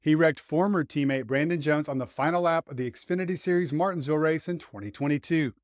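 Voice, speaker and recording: A man's voice: fairly high pitch, fast, no echo, studio quality. A man hosting a motorsport show, delivering scripted commentary on racing news. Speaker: host and motorsport writer.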